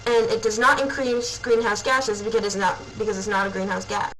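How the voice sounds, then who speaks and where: A woman's voice: mid-range voice, little pitch movement, fairly quick, fairly bright tone, slight background noise, close to an everyday voice, low-quality recording. A woman playing an advocate in an amateur educational film, reciting scripted facts in a matter-of-fact way. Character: upbeat female ethanol advocate.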